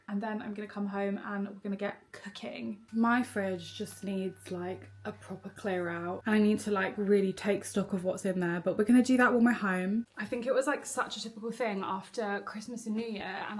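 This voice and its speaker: A young woman vlogging on her phone in a relaxed, chatty way, a bit bunged up from a cold. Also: mid-range voice. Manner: chatty and relaxed, rambling with frequent "like". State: congested, bunged up nose. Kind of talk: chatty vlog talk to camera. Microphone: phone camera.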